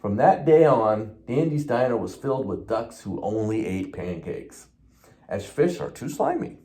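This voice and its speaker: A man reads a children's storybook aloud in a mellow, soft-edged voice. His intonation swings widely and expressively through the tale. He occasionally stumbles over a word and carries on. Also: medium pitch, big pitch swings, a little slow, a little hiss or hum. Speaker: police officer reading a children's book.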